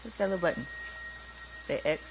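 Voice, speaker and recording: A woman's voice: fairly low pitch, expressive, brisk. A woman chatting in a blunt, slangy, questioning way while she plays a video game. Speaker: game player. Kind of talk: casual chat while playing a video game. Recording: music clearly behind the voice. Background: music.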